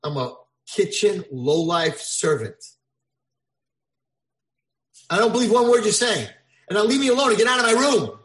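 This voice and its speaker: A man voicing a young male prince forced into kitchen slavery, pleading and shouting in a strained high voice. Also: sing-song, slow, full character voice. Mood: defiant. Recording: echoey room.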